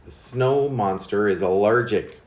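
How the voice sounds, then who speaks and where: A man's voice: lowish voice, unhurried, darkish tone, roomy sound. A man reciting his own humorous narrative poem in a wry, confiding way, like a storyteller passing on gossip. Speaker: poet reading own work.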